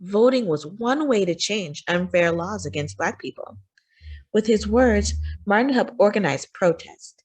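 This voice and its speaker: Expressive, husky-voiced woman reading to children. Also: medium pitch, low-quality recording. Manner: expressive, deliberate phrasing for young listeners. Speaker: children's story time host and reader. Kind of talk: read-aloud of a children's picture book.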